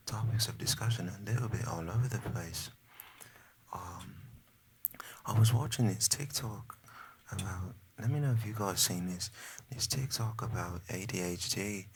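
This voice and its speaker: A man's voice: low voice, a little hiss or hum. A young man whispering a rambling ASMR chat to his viewers in a put-on British accent, often losing his train of thought. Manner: soft whispering, rambling and easily sidetracked. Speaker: ASMR creator.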